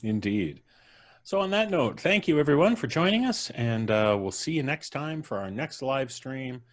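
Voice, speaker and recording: A man's voice: mid-range voice, very animated, normal speed. A man hosting a casual live-stream chat in a wry, punning manner. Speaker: host.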